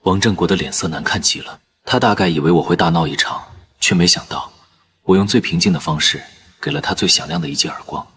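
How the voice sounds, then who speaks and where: Very deep-voiced male storyteller. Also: expressive, fast talker, a bit bright. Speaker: narrator. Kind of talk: first-person story narration.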